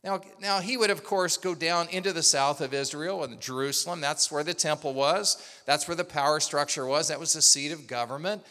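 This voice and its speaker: A man's voice: a little high, a little fast, hardly any pauses, pushed and bright. A man from Oklahoma preaching a sermon as pastor, telling a story and asking the congregation questions. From Oklahoma, USA. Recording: long hall reverb.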